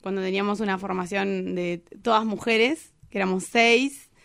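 A woman's voice: mid-range voice, steady tone, moderate pace, vocal fry, fairly bright tone, no echo, studio quality. A woman from Argentina with an Argentine accent, chatting informally and jokingly as an interview guest. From Chubut, Argentina. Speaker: guest, cumbia band singer. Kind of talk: casual interview chat.

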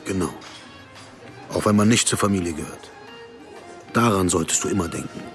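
An actor plays a menacingly calm male moneylender in a radio drama. His low voice is measured and fatherly, and music plays clearly behind him. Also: natural voice. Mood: softly threatening. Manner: measured, paternal, veiled threats.

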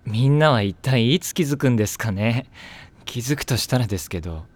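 An actor playing a sarcastic, deadpan teenage boy who needles others, in an anime drama CD. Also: medium pitch, a little fast, natural voice. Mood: snide. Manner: snide, deadpan.

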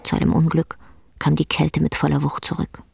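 A woman performing as the grave, intense narrator of a bleak literary radio drama, telling it in a measured way. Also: low-pitched, level tone, creaky, a little mellow. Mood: grave, tense. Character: female storyteller of a bleak rural tale, grave and intense. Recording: music under the voice.

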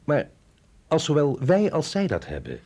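A man's voice: a little high, huge pitch swings, normal speed, gravelly, darkish tone, breathy edge, exaggerated voice. An actor playing a reluctant, doubting male agent in a radio drama, hesitant and questioning. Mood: puzzled, questioning. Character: reluctant, doubting male agent, younger than his superior.

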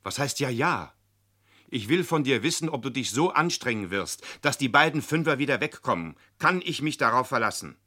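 A man's voice: medium pitch, expressive, moderate pace, pushed and bright. An actor in a radio play playing a stern but fair father who speaks plainly and admonishingly. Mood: stern, earnest. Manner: emphatic, admonishing, plain-spoken. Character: stern but fair father lecturing his teenage son. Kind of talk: German radio play acting.

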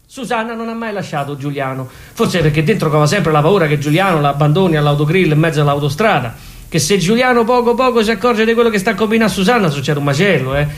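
A man's voice: on the high side, animated, fast talker. A man performing a theatrical comic storytelling monologue, emphatic and repetitive, with sudden exclamations.

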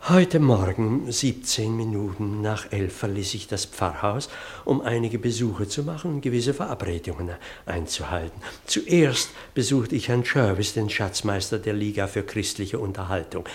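Radio play role: pedantic, earnest parson, rambling and easily flustered, lively intonation, gravelly edge. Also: male voice, fairly low pitch, moderate pace. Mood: earnest, meticulous. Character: fussy, long-winded, earnest male parish clergyman. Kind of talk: German radio play acting.

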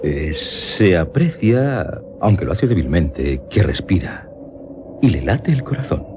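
An actor with a Spain accent playing a grave, clinical doctor, careful and hesitant, in a radio drama. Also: male voice, low-pitched, sing-song, unhurried. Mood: clinical unease. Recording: radio drama with noisy atmospheric backing.

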